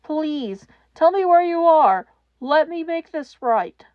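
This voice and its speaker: A woman voicing a grown male hero with a rough voice, anguished and urgent. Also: sits high, lively intonation, takes it slowly, dry recording, phone-quality sound, voice pushed up.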